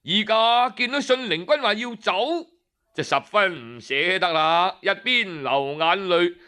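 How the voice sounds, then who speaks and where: A Cantonese man narrates in traditional storytelling style. His voice is high and forceful, and he takes his time, shaping each phrase with theatrical emphasis. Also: expressive, unhurried, clean tone.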